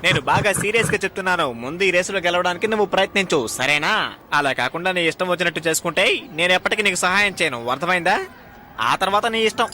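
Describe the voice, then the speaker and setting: A man's voice: high voice, very fast, pushed and bright, slight background noise. A man playing an insistent young inventor friend who gives orders in a dubbed cartoon. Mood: scolding. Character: bossy young inventor friend, eager racing-team helper.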